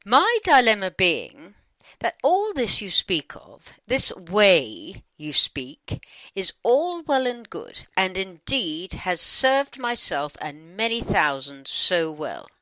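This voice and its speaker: A woman reading her side of a scripted dialogue in a conversational, playful way, with emphatic pauses. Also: on the low side, sharp tone, no echo. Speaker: channeler reading her own questions.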